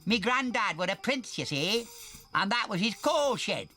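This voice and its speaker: A man's voice: high voice, very animated, moderate pace, bright, forceful tone, pitched above the performer's own voice, exaggerated voice. A man doing a folksy Northern elephant. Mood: hearty folksy. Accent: Northern English. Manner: folksy, blunt, sniffly. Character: cheerful elderly male elephant, ex-coal-mine worker.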